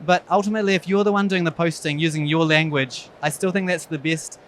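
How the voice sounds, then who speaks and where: A man with a New Zealand accent gives a conference talk as an eye surgeon, with a somewhat high-pitched voice. He speaks frankly, with wry, self-deprecating humor. A faint background hum sits under his voice. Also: steady tone, quick pace, fairly bright tone. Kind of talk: conference presentation.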